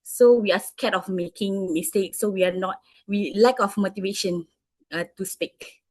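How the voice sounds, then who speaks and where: A woman in her thirties from Malaysia with a Malaysian English accent, an English teacher answering interview questions with frequent pauses and restarts. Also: medium pitch, darkish tone, no echo. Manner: hesitant, many fillers and restarts.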